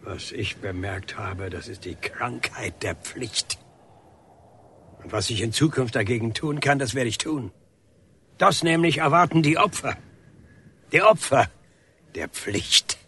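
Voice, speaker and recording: A man acting in a radio drama as an aggrieved older painter, husky, deliberate and insistent, with grunting hesitations. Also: medium pitch, a bit bright, a little hiss or hum. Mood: grave, bitter. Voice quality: husky, rough. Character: aggrieved, defiant older painter confronting a policeman.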